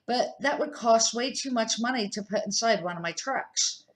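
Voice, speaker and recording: A woman's voice: a little high, normal speed, pitched above the performer's own voice, natural voice. A woman reading as a cheerful food truck owner.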